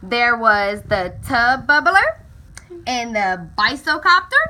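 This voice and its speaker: Woman reading a kids book out loud, high bright voice, stretches words for drama, bit of noise behind. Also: big pitch swings, takes it slowly. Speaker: storybook reader. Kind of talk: expressive children's picture book read-aloud.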